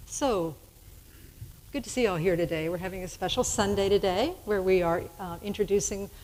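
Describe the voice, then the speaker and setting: A woman's voice: medium pitch, a little fast, a little mellow, a little hiss or hum. Chatty woman minister in a reverberant sanctuary. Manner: chatty, laughing easily. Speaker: minister hosting a church service. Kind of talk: casual on-stage interview. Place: church sanctuary with balcony. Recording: hall reverb from a large sanctuary.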